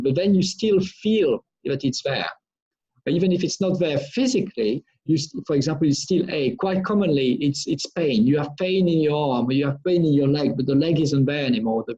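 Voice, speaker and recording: A man's voice: a little high, warm tone, slightly rough. Middle-aged man from France explaining. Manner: explanatory, restarts and repeats phrases often. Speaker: brain engineer answering pupils' questions. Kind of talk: live online Q&A with schoolchildren. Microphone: video call. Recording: dull lo-fi video call audio.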